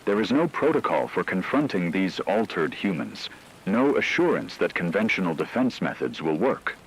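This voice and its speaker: A man playing a solemn radio news anchor, delivering a scripted emergency broadcast in a measured, ominous way. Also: deep voice, very animated, slightly rough, heavily acted, rough recording. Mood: grave, ominous. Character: grave male radio news anchor reporting an apocalypse.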